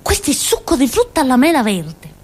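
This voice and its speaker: High, swooping, childlike village fool. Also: female voice, wildly swooping pitch, fairly quick, slight background noise. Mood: simple, candid. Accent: southern Italian. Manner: rambling, giggly, with frequent interjections and tag questions. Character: childlike, chatty, naive village fool woman in Calabria. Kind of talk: theatrical comic monologue.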